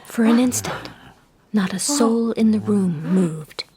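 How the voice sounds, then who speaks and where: A woman playing a composed, sharp-witted young lady detective in a period radio drama, with measured, literate speech. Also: mid-range voice, a crowd in the background, lightly acted. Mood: reflective.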